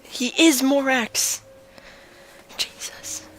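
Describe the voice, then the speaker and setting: A woman's voice: high voice, steady tone, extremely slow, fairly bright tone, a little hiss or hum. A woman game streamer giving casual reactions as she plays. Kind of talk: casual reactions while gaming.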